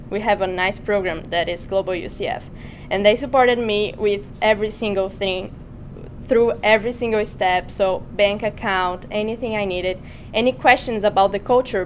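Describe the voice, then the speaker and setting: Woman from Brazil, freshman panelist, earnest and simple, mid-pitched, faint rumble. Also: low-quality recording. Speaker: student panelist. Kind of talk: panel discussion answers.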